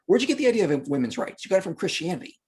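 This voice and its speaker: A man's voice: high-pitched, big pitch swings, very fast, darkish tone, breathy edge. A man speaking as an interview guest, explaining history in unscripted podcast talk with an emphatic, argumentative manner.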